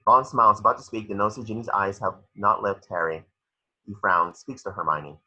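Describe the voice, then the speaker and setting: Deep, forceful, raspy man narrating. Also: a little fast, rough recording. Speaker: screenplay narrator. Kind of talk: scripted narration.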